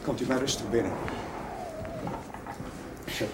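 A man playing a warm, talkative and idealistic young village chaplain in a television drama, hesitant and musing but easily worked up. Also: medium pitch, a little slow, natural voice. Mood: hospitable. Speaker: actor in a television drama series. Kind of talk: naturalistic television drama dialogue. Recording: noisy old TV soundtrack, clattering dishes.

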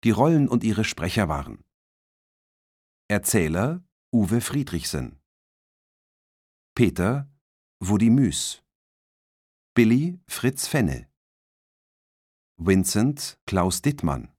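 A man reading scripted radio drama credits as an announcer in a measured, formal manner. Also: lowish voice, big pitch swings, a little mellow, dry recording, clean studio sound.

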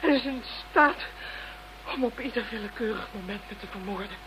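A woman's voice: mid-range voice, brisk, a little hiss or hum, natural voice, poor audio. An actress playing a defiant, frightened captive woman in a radio drama, emotional and outspoken. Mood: despairing.

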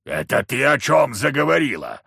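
Мужчина озвучивает огромного невидимого мужика-великана из сказки, грубого и грозного, с рокочущей речью. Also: male voice, sing-song, slow, vocal fry, pushed and bright, slightly rough, no echo, clean studio sound, heavily acted. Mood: angry. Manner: booming, rumbling. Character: huge invisible giant husband, gruff and menacing.

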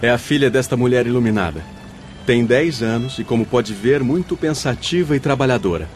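An actor playing a grave, benevolent spirit guide, explaining solemnly in a radio soap with a Brazilian accent. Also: male voice, medium pitch, fairly quick, soft background music, close to an everyday voice. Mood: grave, instructive. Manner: solemn, didactic. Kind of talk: radio soap opera acting.

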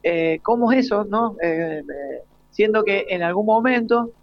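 A high-pitched Argentine man works as a radio host, putting informal questions to his guests. The sound is dry and slightly muffled, like a live outside broadcast. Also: expressive, unhurried, no echo. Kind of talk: live informal panel interview.

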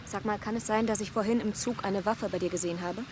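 An actress playing a young woman in a hacker group who is hiding from the police, with urgent, sharp questions. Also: mid-range voice, steady tone, fast talker, background noise, close to an everyday voice. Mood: tense. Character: young woman on the run, anxious. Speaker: Sophia, a member of a hacker group. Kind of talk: radio drama acting.

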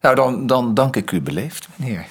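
An actor playing an awkward, formal, erudite man in a radio play, hesitant and husky. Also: medium pitch, expressive, normal speed. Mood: sheepish, formal.

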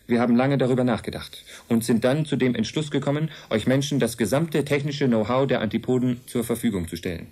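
A man plays an earnest male envoy from an advanced race in a radio drama. His voice sits at a medium pitch and he explains things in a calm, measured, matter-of-fact manner. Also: close to an everyday voice. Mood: earnest, explanatory. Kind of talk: German radio drama acting.

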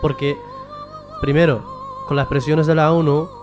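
Higher-pitched man explaining politics, music behind. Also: flat delivery, measured pace. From Western Sahara. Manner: explanatory, poses questions and answers them. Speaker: guest, member of a Sahrawi association. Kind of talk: political explanation in a radio interview.

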